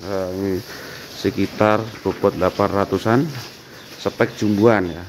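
A man's voice: sits low, fairly even intonation, measured pace. A man vlogging a walk through an outdoor cattle barn, chatting with a worker and repeating answers back, with a faint hum around him. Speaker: vlogger touring a cattle farm. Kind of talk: casual walk-through vlog with interview.

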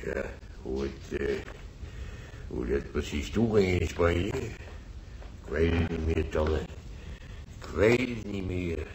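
An actor playing a nostalgic, gruff old war veteran grandfather in a radio drama, telling his memories haltingly, repeating himself in earthy colloquial words. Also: deep voice, lively intonation, a little mellow, exaggerated voice. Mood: gruff reminiscing. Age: older. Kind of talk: radio drama acting, reminiscing monologue. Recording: roomy old radio play, faint noise.

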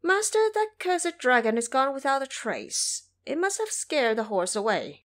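Woman voicing a brash, hot-tempered monkey disciple, high-pitched. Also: big pitch swings, unhurried, a bit bright, exaggerated voice. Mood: alert, reporting. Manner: brash, impatient, ranting. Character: hot-tempered, boastful male monkey disciple with magic powers.

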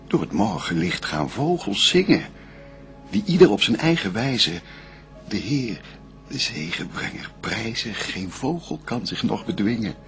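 Devout first man and adoring bridegroom, low gravelly voice, lyrical sweeping declamation, solemn verse radio play. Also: very animated, measured pace, fairly bright tone, faint music behind, exaggerated voice. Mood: reverent.

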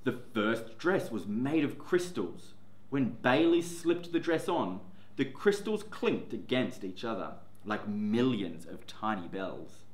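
A man in his forties, a teacher, reading a picture book aloud to children, seated in a classroom. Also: medium pitch. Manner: slow, expressive storytelling. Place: classroom, indoors. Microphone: camera in front, seated. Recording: echoey classroom.

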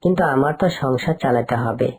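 Woman voicing a low-pitched, plaintive male fox. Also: quick pace, darkish tone, breathy edge, full character voice. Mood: weary, complaining. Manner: plaintive, pleading. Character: poor, worried, pleading male fox labourer. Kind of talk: cartoon dialogue.

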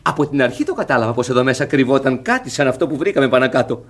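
An actor playing a cheeky, shrewd young manservant in a radio comedy, brisk and impish. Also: male voice, mid-range voice, big pitch swings, a bit bright. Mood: amused, self-pleased. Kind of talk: theatrical radio comedy acting.

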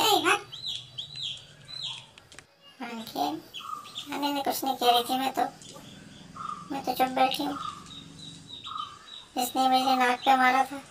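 A hungry female house cat mutters her schemes slowly to herself, humming as she plots, as a woman voices her. Her fairly high voice has a gravelly, fried edge and sits a little away from the mic. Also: recorded from a distance, background noise. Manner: muttering, plotting to herself with many hums. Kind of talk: comic pet voice-over.

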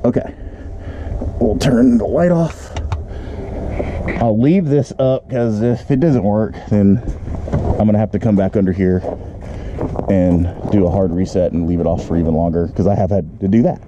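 Low-voiced man, chatty, muffled noisy recording. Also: normal speed, long pauses. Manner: chatty, rambling, thinking aloud. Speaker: vlogger giving an RV how-to. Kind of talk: casual walk-through tutorial, talking to camera. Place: outdoors beside a camper, later inside it. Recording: muffled handheld camera audio with clear background noise.

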